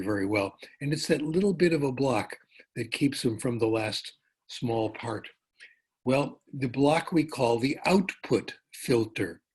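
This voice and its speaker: An older man giving a conference lecture, telling anecdotes in a relaxed way with asides and self-corrections. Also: medium pitch, husky. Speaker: professor giving a conference talk. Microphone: remote talk over a conference call.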